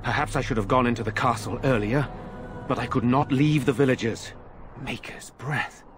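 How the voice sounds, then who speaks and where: A man's voice: mid-range voice, moderate pace, lightly acted. An actor playing a troubled, dutiful nobleman in a fantasy game, with a formal and earnest way of speaking. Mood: grave, pensive. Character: troubled, dutiful nobleman protecting his villagers. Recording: music behind the voice.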